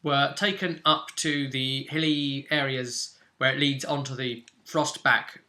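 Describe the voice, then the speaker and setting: Very bright-voiced man recapping a tabletop game. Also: mid-range voice, steady tone, a little slow. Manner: explanatory, loosely phrased with asides. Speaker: host and game master. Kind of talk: casual tabletop role-play recap.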